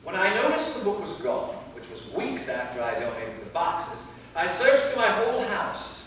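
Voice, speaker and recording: A man's voice: high-pitched, huge pitch swings, normal speed, a bit bright, exaggerated voice. A man playing a weary, easily exasperated immortal vampire in a staged comedy reading. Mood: earnest, flustered. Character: exasperated immortal male vampire from the Middle Ages. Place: theater, recorded from a distance. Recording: echoey hall, far mic, faint noise.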